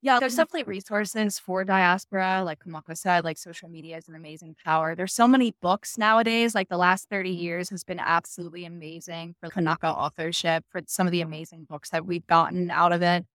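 A woman's voice: lowish voice, fairly even intonation, fast, hardly any pauses, fairly bright tone, no echo. A woman from the continental United States giving her views casually and emphatically as a panel guest.

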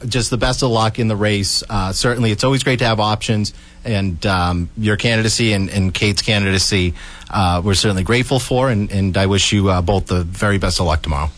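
A man's voice: on the low side, brisk, a bit bright. A man co-hosting a talk radio show, chatty and teasing with callers. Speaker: radio co-host, county commissioner. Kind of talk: casual talk radio banter.

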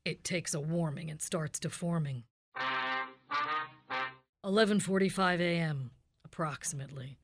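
A woman playing a terse, deadpan police detective who narrates in a parody of a radio crime drama. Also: low voice, measured pace, long pauses, soft background music. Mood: deadpan narrating. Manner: clipped, deadpan.

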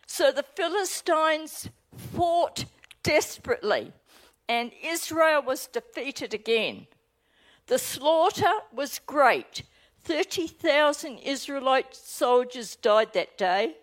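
A woman pastor with an Australian accent giving a sermon and reading scripture aloud, earnest and deliberate, with small hesitations. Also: high-pitched, expressive.

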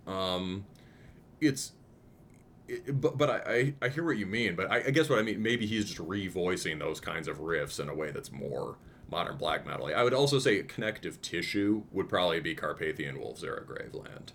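A man with a very deep voice talks through music in a loose, analytical way. He often stops and restarts his thoughts and fills pauses with "um". A faint rumble runs under the recording. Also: animated, vocal fry, fairly bright tone. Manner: thoughtful, restarts often, many ums, hums riffs. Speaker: podcast co-host discussing music. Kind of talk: casual analytical conversation.

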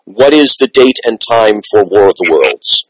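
A man hosting a casual interview, effusive and halting, often repeating his words as he goes. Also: lowish voice, very animated, no room sound, poor audio. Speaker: interviewer, host.

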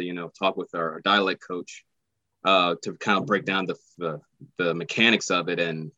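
Deep-voiced hesitant man, muffled audio. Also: bright, forceful tone, dry recording. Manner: hesitant, many fillers like "you know". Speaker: actor guest. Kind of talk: casual interview answers.

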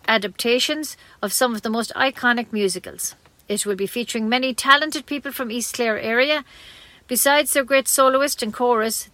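A woman's voice: fairly high pitch, a bit bright, no echo. A woman with an Irish accent reading scripted community radio news, occasionally stumbling and correcting herself.

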